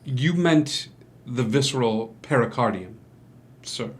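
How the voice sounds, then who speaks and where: A man's voice: medium pitch, expressive, takes it slowly. An actor playing a smug, insolent young male student, clipped and challenging, in a theatrical table read. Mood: smug, defiant.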